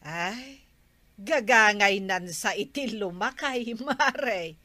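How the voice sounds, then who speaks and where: An actress playing a nosy, teasing neighbourhood gossip with an Ilocano accent in a radio comedy. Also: female voice, mid-range voice, lively intonation, slow, pushed and bright, dry recording.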